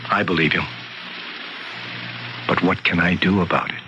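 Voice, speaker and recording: An actor playing a skeptical, blunt physician in an old-time radio mystery, with measured, probing delivery. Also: male voice, low voice, steady tone, natural voice. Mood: grave, resigned. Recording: dull lo-fi radio drama, faint noise.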